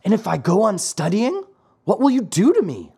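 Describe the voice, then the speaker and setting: Guy doing a mouthy little wooden puppet boy from a storybook, high swoopy voice, taunting and singsong. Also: wildly swooping pitch, moderate pace, mellow, husky, exaggerated voice. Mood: challenging. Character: cheeky, defiant boy marionette, mocking and indignant.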